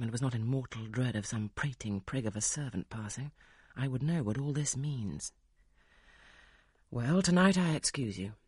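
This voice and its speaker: A reader doing a blunt, commanding gentleman. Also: medium pitch, husky, no echo, studio quality, close to an everyday voice. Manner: blunt, probing, imperious. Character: brusque commanding gentleman of the manor. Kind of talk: audiobook character reading.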